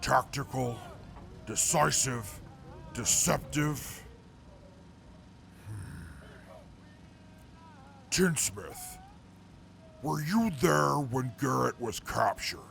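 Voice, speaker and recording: An actor playing a reformed male mutant soldier in an audio drama, speaking in a formal, measured way. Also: mid-range voice, expressive, creaky, fairly bright tone, faint music behind, full character voice. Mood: grave. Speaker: former enemy scout now with the crew.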